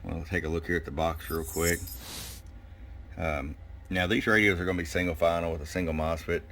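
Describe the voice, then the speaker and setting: A man giving a casual, hands-on product review in a folksy, unhurried way with pauses. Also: low-pitched, fairly even intonation, fairly bright tone. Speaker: shop owner presenting product. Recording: clear background noise and room ambience. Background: background noise.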